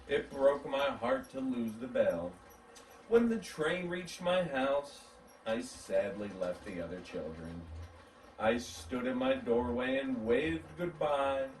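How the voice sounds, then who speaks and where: A man reading a children's story aloud in an animated, dramatic, drawn-out way. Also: medium pitch. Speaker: story reader. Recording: roomy, distant mic, background noise.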